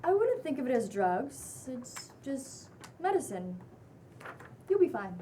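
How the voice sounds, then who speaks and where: A woman reading the part of a smooth-talking, reassuring housemate at a table read, a little away from the microphone. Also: sits high, sing-song, unhurried, warm tone. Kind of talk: table read of a pilot script. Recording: distant mic, faint room noise.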